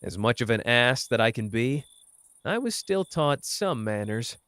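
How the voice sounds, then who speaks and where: A man's voice: mid-range voice, very animated, unhurried, fairly bright tone, clear, resonant tone, dry recording. A man playing a cocky young sorcerer who teases tenderly and talks informally, in an intimate roleplay performance. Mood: awkward, sincere. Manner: informal, hesitant when talking about feelings. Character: cocky young male sorcerer, teasing yet tender with his partner. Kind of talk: intimate roleplay audio acting.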